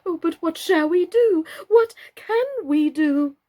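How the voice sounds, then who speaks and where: A woman's voice: extremely high pitch, expressive, takes it slowly, mellow, voice pushed up, exaggerated voice. A woman voicing a timid, fretful male doll father from a children's storybook, bleating anxiously. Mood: fretful, worried.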